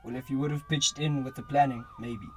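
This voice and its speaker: A man's voice: medium pitch, fairly even intonation, brisk, fairly bright tone, slightly rough. A young man acting a terse, scornful robber who leads the plan in an amateur radio drama. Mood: annoyed, urgent. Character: irritable, scheming male bank robbery planner.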